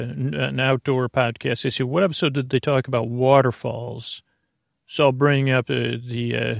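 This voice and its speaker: A man hosting a sleep podcast in a rambling monologue, halting, repeating words and trailing off mid-thought, with a creaky voice. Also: medium pitch, no echo, clean studio sound. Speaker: podcast host.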